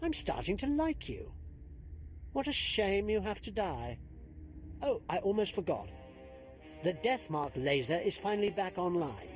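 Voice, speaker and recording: A sneering Sith apprentice in a video game, high-voiced and swooping in pitch. He is smug, haughty and theatrically mocking. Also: sing-song, unhurried, pauses often. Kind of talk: video game villain acting. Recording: music under the voice.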